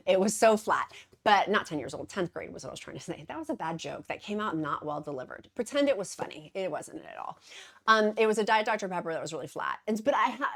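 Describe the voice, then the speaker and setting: Brief: upbeat woman vlogger, chatty and effusive, slightly low voice, lots of asides. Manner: bubbly, gushing, self-deprecating, rambling asides. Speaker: vlogger, weight-loss journey host. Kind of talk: casual chatty vlog to camera.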